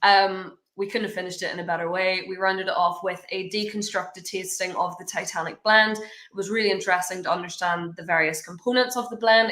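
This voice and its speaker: A woman co-hosting a whiskey show, telling stories in a lively way. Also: lowish voice, echoey room. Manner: lively storyteller, laughs often. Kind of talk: casual chat.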